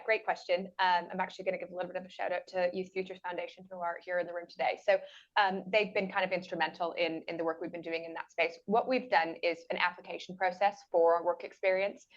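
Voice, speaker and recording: A woman's voice: on the low side, steady tone, quick pace. A woman panelist answering audience questions in a chatty way with many fillers. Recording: slightly dull conference audio.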